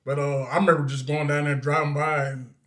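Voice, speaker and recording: A man's voice: a little high, flat delivery, brisk, fairly bright tone. A man, a co-host chatting loosely with lots of fillers. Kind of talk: casual chat.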